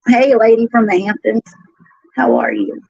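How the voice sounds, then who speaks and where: A woman's voice: on the high side, sing-song, moderate pace, creaky, a little mellow, slightly rough, no room sound. A woman chatting casually on a live stream in a giggly, crude, incredulous manner. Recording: dull, lo-fi replayed stream audio.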